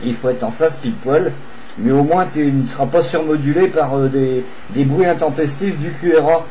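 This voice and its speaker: Man, CB radio operator, slightly high voice, repetitive hesitant chat, faint noise. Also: moderate pace, mellow. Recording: dull, slightly noisy CB radio recording.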